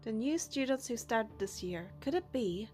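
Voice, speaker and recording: Young female playthrough narrator, high register, scripted story reading with faint music behind. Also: lively intonation, normal speed, a little mellow. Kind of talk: scripted narration and dialogue read aloud.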